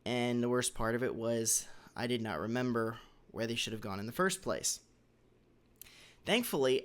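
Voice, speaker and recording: A man with a hoarse voice, recovering from a cold, giving a casual, measured developer lesson as the host of a weekly question. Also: medium pitch, a bit bright. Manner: measured, slightly halting, with occasional throat clearing. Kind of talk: casual instructional talk.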